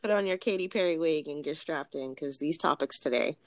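A woman co-hosting a podcast in casual chat, blunt and profane, her voice a little raspy from cigars. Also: sits low, a little fast, no room sound. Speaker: podcast co-host.

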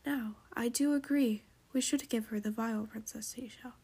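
Storybook dragon queen and mother, composed, softly commanding, voiced by a woman. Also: fairly high pitch, normal speed, husky. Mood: calm, firm. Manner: calm, commanding.

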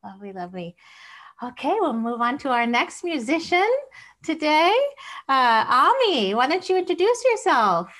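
A woman's voice: sits high, sing-song, moderate pace, a bit bright. A woman hosting an online event in a casual, effusive and encouraging way. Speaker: event host. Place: video call.

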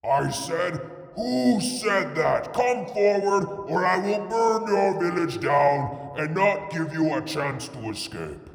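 A man voicing a huge, menacing, vain dragon in a children's story, with booming, drawn-out speech and heavy reverb. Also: big pitch swings, gravelly, full character voice. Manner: booming, slow, drawn-out threats. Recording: echoing reverb, music underneath.

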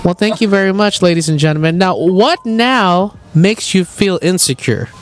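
A young man hosting a casual podcast, joking and laughing often, with a voice he calls high-pitched. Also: expressive, moderate pace. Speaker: podcast host.

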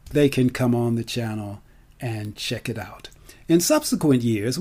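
A man speaks at a slow, deliberate pace in a relaxed, mellow voice of medium pitch. He sounds like someone promoting his work live and off the cuff, drawing out and repeating key words for emphasis. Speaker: storyteller and film festival organizer. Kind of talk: promotional talk, live and unscripted.

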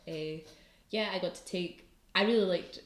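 A woman's voice: lowish voice, moderate pace, roomy sound, background noise. A young woman with a light Scottish accent, chatting as a student guest on a podcast, laughing often and using lots of fillers. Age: young adult. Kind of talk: casual podcast chat.

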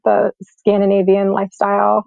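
Creaky young woman, dull lo-fi sound. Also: medium pitch, normal speed, dry recording. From born in Sweden, raised in New York. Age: young adult. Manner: relaxed, reflective, heavy use of "like" and "um". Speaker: guest, climate scientist. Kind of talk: casual interview talk. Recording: dull lo-fi recording.

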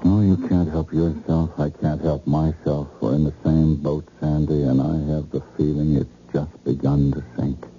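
A man's voice: a bass voice, little pitch movement, moderate pace, hardly any pauses, mellow, faint music behind. A man playing an anxious, irritable man haunted by nightmares, in old-time radio drama style on a muffled recording. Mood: irritable, gloomy.

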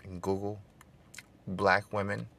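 Smooth-voiced man with gravelly low tone. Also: monotone, slow, a bit bright. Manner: conversational, rising into emphatic preaching cadence; addresses listeners directly, seeks call-and-response. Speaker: podcast host. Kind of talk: casual political podcast monologue.

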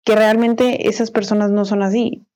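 A woman's voice: medium pitch, flat delivery, moderate pace, warm tone, a little hiss or hum. A young woman in her twenties with a Mexican accent, co-hosting a podcast in a loose, slangy chat, repeating herself and laughing often. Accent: Mexican Spanish. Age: 20s. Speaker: podcast co-host. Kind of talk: casual chat.

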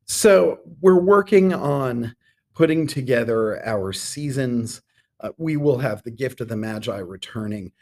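A man's voice: mid-range voice, lively intonation, measured pace, a little mellow. A middle-aged man speaking as a theatre director being interviewed on a podcast, articulate and enthusiastic, with ornate turns of phrase.

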